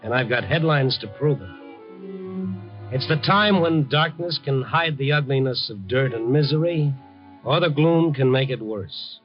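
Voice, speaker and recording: A man's voice: mid-range voice, lively intonation, unhurried, close to an everyday voice. Casting: male actor for wry, hard-boiled American reporter, understated noir radio narration, dull vintage recording, music behind. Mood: brooding. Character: tough, wry, kindly male newspaperman narrating his night story. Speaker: narrator and lead of a radio drama. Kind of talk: 1950s radio drama acting, noir first-person narration.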